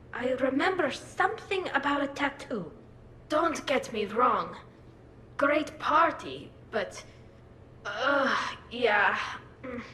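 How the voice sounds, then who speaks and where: An actress playing a young female alien in a video game, badly hungover, groaning and halting. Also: mid-range voice, pushed and bright, roomy sound, a little hiss or hum, rough recording.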